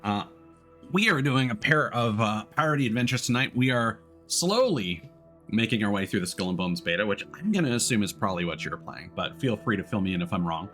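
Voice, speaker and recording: A man's voice: fairly low pitch, expressive, brisk, a bit bright, faint music behind. A man chatting casually as he livestreams a game, drifting between his own thoughts and greetings to viewers. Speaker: livestreamer, VTuber. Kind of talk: casual livestream chat over gameplay.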